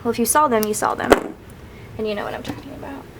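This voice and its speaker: A woman's voice: on the high side, quick pace, creaky, very noisy. Chatty sniffly young woman vlogger. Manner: chatty, rambling, upbeat. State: sniffly from crying. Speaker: planner vlogger. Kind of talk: casual craft vlog chat.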